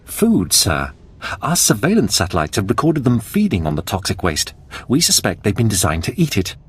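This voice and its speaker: A dutiful army captain in a video game. His voice is lowish and husky with varied pitch, and his manner is cautious and respectful. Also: wildly swooping pitch, normal speed, full character voice. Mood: cautious, reporting. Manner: deferential, cautious. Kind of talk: video game cutscene acting.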